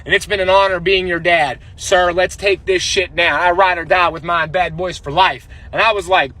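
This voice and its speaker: A man telling a comedic storytime in a slangy, profane, exasperated way with a husky, rough-edged voice. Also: high-pitched, fairly even intonation, moderate pace, bright, forceful tone.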